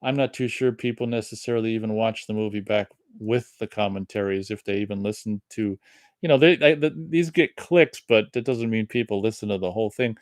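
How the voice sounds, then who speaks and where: Low, smooth-voiced man rambling casually. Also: brisk, no room sound, studio quality. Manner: rambling, reflective, many restarts. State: slightly raspy voice from talking. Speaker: movie commentary host. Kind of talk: casual solo movie commentary.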